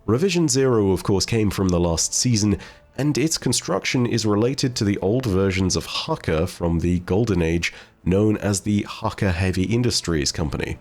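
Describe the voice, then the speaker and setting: A man's voice: on the low side, expressive, moderate pace, a little mellow, soft background music. A man hosting a gaming lore video, talking to viewers in a conversational commentary style. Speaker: host of a game lore video channel.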